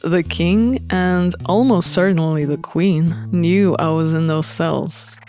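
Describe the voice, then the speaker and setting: Girl doing a low dry rebel prince for a visual novel, guarded n offhand, music playing under it. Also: slow pace, dark tone. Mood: dry, matter-of-fact. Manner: offhand, dry, matter-of-fact. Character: blunt, guarded exiled prince and rebel alchemist. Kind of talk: visual novel character reading.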